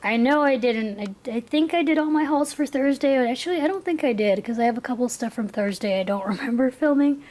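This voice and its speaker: A woman's voice: sits high, fast, few pauses, darkish tone. Tired, giggly young woman vlogger. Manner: rambling, giggly, with frequent restarts. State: tired, voice worn out. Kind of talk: casual haul video.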